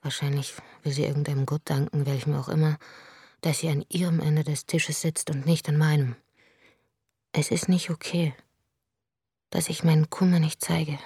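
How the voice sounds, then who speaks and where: Bitter grieving woman, deep, mellow, husky, dry studio sound. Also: little pitch movement, normal speed, no room sound, exaggerated voice. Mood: wry. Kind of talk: radio drama monologue.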